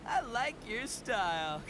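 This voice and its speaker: An actor playing a brash, boastful horned oni gang boss in a video game, with loud, theatrical, goofy delivery. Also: male voice, extremely high pitch, big pitch swings, slow, noisy background, exaggerated voice. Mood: eager.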